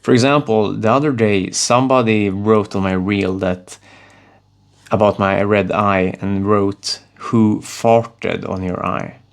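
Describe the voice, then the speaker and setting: Gravelly Swedish man coaching on camera. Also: fairly low pitch, fairly even intonation. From Sweden. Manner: earnest, halting with restarts. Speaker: self-improvement vlogger.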